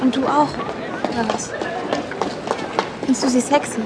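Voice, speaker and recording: A woman's voice: high voice, steady tone, moderate pace, heavy background noise, natural voice. A young actress playing a jealous, hot-tempered teenage girl in a radio play, who snaps and stammers when upset. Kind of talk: film-based radio play acting.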